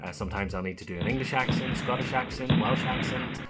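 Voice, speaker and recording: A man's voice: medium pitch, fast talker, over loud music. A young Irish man, a voice actor, chatting playfully and a little self-deprecatingly to camera. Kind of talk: casual chat demonstrating accents.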